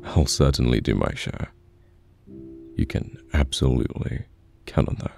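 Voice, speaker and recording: A man playing a caring, patient boyfriend in an ASMR roleplay, speaking gently and haltingly with reassurance, close to the mic. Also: very deep voice, expressive, vocal fry, exaggerated voice. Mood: earnest, reassuring. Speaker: voice actor in an audio roleplay. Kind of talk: soft-spoken ASMR boyfriend roleplay, pillow talk. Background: soft piano or guitar music.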